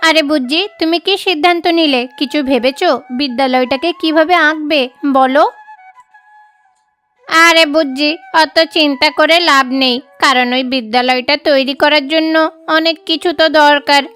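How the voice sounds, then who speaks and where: A woman's voice: high-pitched, a little slow, a bit bright, soft background music. A woman voicing a spiteful, sly young schoolmate bird in a children's cartoon. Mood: mocking. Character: spiteful, scheming young schoolmate birds, crow and parrot.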